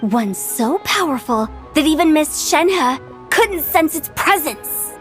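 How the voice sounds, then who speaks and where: A woman's voice: sits high, animated, takes it slowly, music in the background. A woman playing a mischievous, imaginative teenage girl in a video game, playful and theatrical. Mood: excited, theatrical. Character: mischievous, imaginative teenage girl who loves ghost stories. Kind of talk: animated video game acting.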